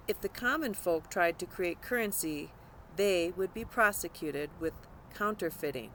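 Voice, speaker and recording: A woman's voice: lowish voice, a bit bright, a little hiss or hum, poor audio. A woman narrating a scripted nonfiction audiobook, reading aloud.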